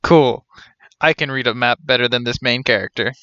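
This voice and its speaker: A man's voice: medium pitch, moderate pace, sharp tone, no echo. A man in his thirties giving casual live game commentary as a streamer, with wry, joking asides. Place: indoor room, webcam stream. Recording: dull lo-fi sound, music behind. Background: game music.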